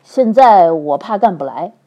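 A woman voicing an impatient young swordsman who talks loudly and bluntly. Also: mid-range voice, big pitch swings, normal speed, breathy edge, lo-fi sound. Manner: loud and blunt.

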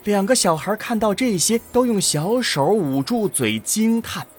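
A man's voice: high-pitched, lively intonation, measured pace, breathy edge. A man narrating a scripted science fiction audio drama in a dramatic storytelling style.

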